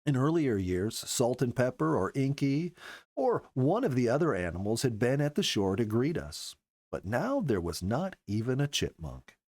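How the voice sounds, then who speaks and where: A man reading a story as a scripted narration, in an expressive storyteller's manner. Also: medium pitch, normal speed, no room sound. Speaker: storyteller, show regular.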